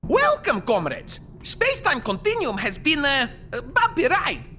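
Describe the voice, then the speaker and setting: High, lively Russian-accented talking dog. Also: female voice, unhurried, background noise, full character voice. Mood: cheerful, eager. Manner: broken English, upbeat. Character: cheerful talking space dog comrade, eager and unbothered. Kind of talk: video game cutscene acting.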